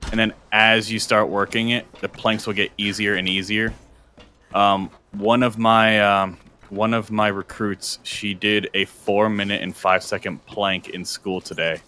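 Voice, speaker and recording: A man's voice: on the low side, flat delivery, a little slow, sharp tone. A young adult man chatting casually on a game stream, explaining workouts in a coaching way, often restarting his sentences.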